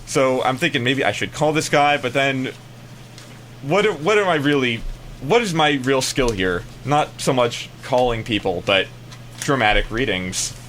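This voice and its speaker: A man's voice: mid-range voice, unhurried, fairly bright tone, slight background noise. An American man chatting casually in a rambling, hesitant way, often trailing off before he finishes. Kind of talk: casual banter.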